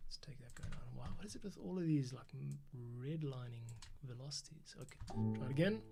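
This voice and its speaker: A man's voice: mid-range voice, fairly quick, creaky. A man thinking aloud in casual commentary as he edits, muttering to himself. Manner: muttering to himself, humming and counting along. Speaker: podcast editor and host. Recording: loud music over the voice. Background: loud music, keyboard typing.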